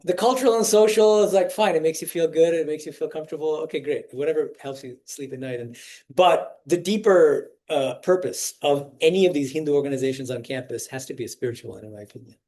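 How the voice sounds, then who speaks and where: Forties male swami, a bit high-pitched, loose and chatty, restarts sentences, keeps checking in with the room. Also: quick pace, a little mellow. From United States. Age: 40s. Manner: conversational, often restarts phrases and quizzes the listeners. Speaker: swami giving a spiritual talk to students. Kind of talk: informal spiritual talk with audience questions.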